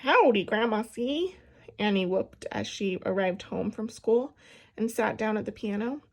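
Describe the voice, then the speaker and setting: A woman reading a children's picture book aloud in an expressive storytelling style. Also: medium pitch, moderate pace, vocal fry. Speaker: storybook reader.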